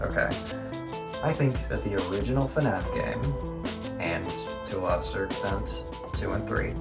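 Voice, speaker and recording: Man narrating over loud music. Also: medium pitch. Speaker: video essay narrator. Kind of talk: informal scripted video essay narration.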